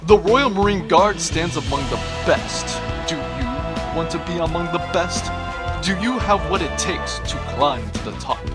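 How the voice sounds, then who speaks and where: A man's voice: a little high, animated, a little slow. A man doing a pompous recruiting announcer. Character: recruitment ad announcer for space marines. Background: guitar music.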